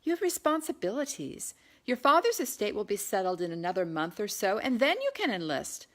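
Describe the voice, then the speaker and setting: A woman's voice: medium pitch, sing-song, a little fast, pitched above the performer's own voice, lightly acted. A woman voicing a headstrong, earnest young Englishwoman in an audiobook, insistent and argumentative.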